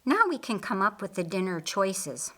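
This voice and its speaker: A woman's voice: medium pitch, big pitch swings, normal speed, sharp tone. A woman voicing a practical, friendly man in a story, earnest and businesslike.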